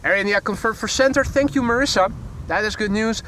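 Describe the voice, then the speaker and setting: A Dutch-accented man with a fairly high voice hosts live airport commentary from beside a field. He chats loosely, corrects himself mid-sentence and slips in dry jokes, with faint outdoor noise and passing vehicles behind him. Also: brisk, sharp tone. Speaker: plane spotting livestream host. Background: outdoor ambience, vehicles.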